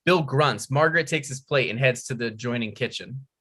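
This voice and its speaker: A man's voice: medium pitch, a little fast, fairly bright tone. A man reading out scripted stage directions as narrator at a comedy table read.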